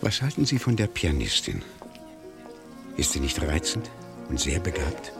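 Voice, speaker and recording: A man acting a world-weary, philosophising gentleman with bon vivant charm in a 1970s radio play, eloquent and theatrical. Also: extremely low pitch, wildly swooping pitch, measured pace, creaky, exaggerated voice. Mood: genial. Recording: music playing behind the voice.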